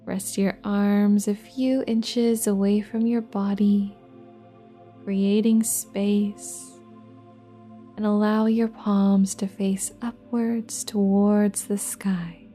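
Slow, mellow woman guiding meditation. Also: medium pitch, flat delivery. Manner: gentle, breathy, unhurried with long pauses. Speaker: meditation guide. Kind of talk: guided relaxation meditation, soft and soothing. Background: soft new-age music with singing bowls.

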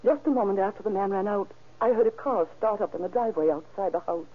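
An actress playing an anxious, loyal aunt in an old-time radio crime drama. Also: female voice, medium pitch, fast talker, close to an everyday voice. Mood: earnest, protective. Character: anxious, loyal aunt defending her nephew. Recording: muffled, band-limited vintage radio recording.

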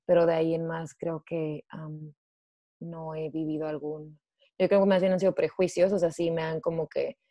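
A young woman with a Mexican accent talks through her thoughts in a relaxed, rambling way. Her voice sits a little low and sounds warm and mellow. The recording is close and dry. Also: no echo, highs cut off. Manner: chatty, many fillers like "o sea" and "pues". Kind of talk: casual conversation.

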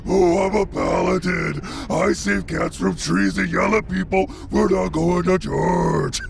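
A man's voice: high voice, steady tone, a little slow, vocal fry, slight background noise, exaggerated voice. A man voicing a mocking, scheming villain boss in a comedic cartoon, with a taunting, theatrical manner. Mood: mocking, loud.

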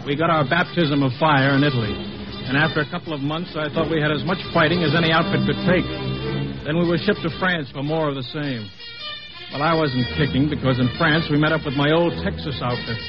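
A man's voice: a little high, steady tone, fairly quick, natural voice. A man acting a plain-spoken army lieutenant from Texas, narrating his war story in a 1950s radio drama. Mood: reflective. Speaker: narrator and lead actor. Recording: dull old radio recording with music.

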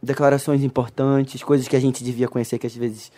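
A man in casual podcast chat, expressive and chatty, recommending things he likes. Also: mid-range voice, a little fast.

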